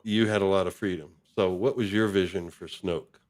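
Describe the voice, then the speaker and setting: A man with a low voice speaks as a podcast host in a casual interview. His delivery is conversational and informal. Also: steady tone, normal speed, darkish tone.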